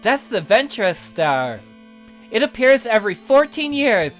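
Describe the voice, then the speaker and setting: Man voicing a haughty, high-voiced castle magician. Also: big pitch swings, a little slow, faint music behind, poor audio. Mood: disdainful. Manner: haughty, scornful, expository. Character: condescending, sardonic male castle magician.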